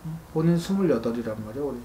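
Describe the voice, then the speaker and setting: A man's voice: medium pitch, animated, very fast, dark tone. A man in his thirties speaks as a fortune-teller giving informal counsel. He is blunt and admonishing, checking in with short grunted questions. The room rings a little, with faint noise underneath. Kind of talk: spoken consultation, informal advice. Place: small indoor consultation room. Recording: roomy echo, faint background noise.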